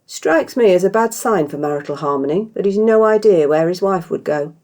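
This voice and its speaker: A woman's voice: on the low side, expressive, a little fast, darkish tone, pitched above the performer's own voice. A woman voicing a capable, loyal inn maid in a story, wry and matter-of-fact. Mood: wry, reassuring.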